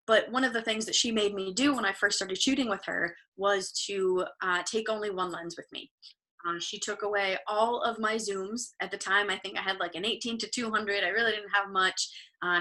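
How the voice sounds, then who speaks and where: A woman in her thirties giving a live online photography talk, chatty and encouraging with many ums, her voice slightly hoarse, heard over a video call. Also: medium pitch, bright, forceful tone, rough recording. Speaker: photography workshop instructor.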